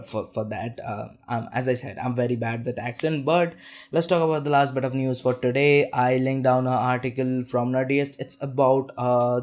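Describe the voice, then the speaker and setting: A man's voice: mid-range voice, a little mellow. A young man hosting a casual tech news roundup, chatty and self-deprecating, with frequent restarts and asides. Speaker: tech news show host.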